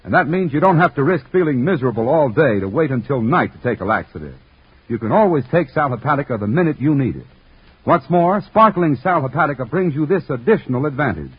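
An actor playing a cheerful announcer and comic sidekick in 1940s radio style. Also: male voice, a little high, very animated, quick pace, breathy edge, heavily acted. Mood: selling, emphatic. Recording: muffled 1940s radio recording.

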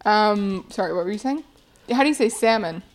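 A woman's voice: medium pitch, expressive, brisk. A woman chatting casually as a podcast co-host, with a teasing, playful manner. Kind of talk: casual chat.

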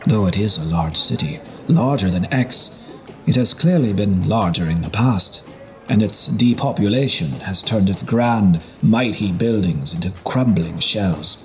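Male audiobook narrator, low voice, measured storytelling pace, historical fiction, scripted read. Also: lively intonation, slight background noise, poor audio.

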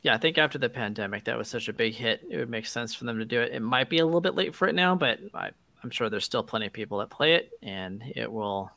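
Male podcast co-host, animated intonation, dry sound, conversational delivery. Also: mid-range voice, fast, gravelly, no room sound. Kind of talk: casual panel discussion.

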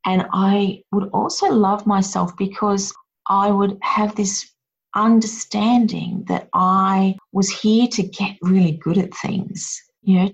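A woman's voice: on the low side, slightly rough, low-quality recording. A woman hosting a casual chat, talking in a reflective, encouraging way.

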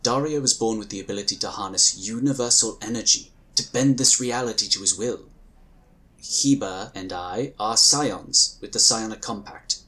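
A man playing a composed, dutiful psychic agent in a sci-fi audio drama, speaking in a formal, earnest, measured way. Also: lowish voice, sharp tone, rough recording. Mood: calm, insistent.

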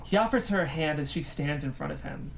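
A narrator reads screenplay stage directions at a table read. The voice is mid-pitched, with faint noise from the room behind it. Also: expressive, brisk, vocal fry, poor audio.

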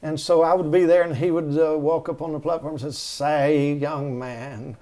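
A man's voice: on the high side, steady tone, moderate pace, mellow. Folksy man telling stories. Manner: folksy, rambling storyteller with many restarts. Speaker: guest. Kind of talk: storytelling conversation.

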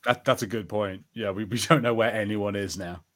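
A man's voice: lowish voice, fairly even intonation, fairly quick, fairly bright tone. A man co-hosting a podcast, talking in casual, unscripted chat. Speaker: podcast co-host.

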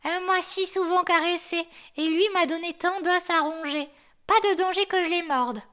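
Storybook casting: a woman playing a shrill, know-it-all old watchdog who barks his words, loud and high. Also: fairly even intonation, normal speed. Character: old grumpy male yard dog, know-it-all.

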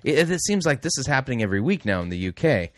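A middle-aged male host talking casually on his program, trailing off and restarting with wry little asides. His voice sits at an ordinary pitch and sounds close and dry, with no room around it. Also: big pitch swings, fast, dry recording. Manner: rambling, self-correcting, wry asides. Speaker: radio host. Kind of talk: casual talk show commentary.

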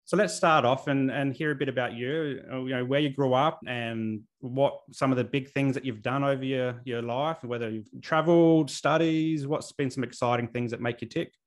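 A man's voice: medium pitch, steady tone. Brief: male interview host, easygoing, stumbles and restarts as he asks his questions. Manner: friendly, halting, repeats words. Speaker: podcast host.

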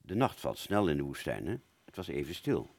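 A man playing a wounded British fighter pilot, telling his story in a radio play with a husky voice and halting, stammering delivery. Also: very deep voice, big pitch swings, heavily acted. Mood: dazed, pained. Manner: halting, stammering, repeats words. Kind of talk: first-person dramatic narration in a radio play.

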